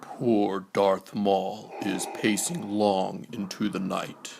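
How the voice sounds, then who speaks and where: A man's voice: low-pitched, flat delivery, takes it slowly, vocal fry. A man reading a children's bedtime story as a scripted narrator, on a noisy recording.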